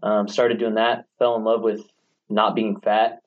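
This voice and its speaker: Casting: late-20s male guest, low voice, relaxed and rambling, dull sound with faint hiss. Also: measured pace. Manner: relaxed, filler-heavy storytelling. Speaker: guest, gym owner. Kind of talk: casual interview storytelling.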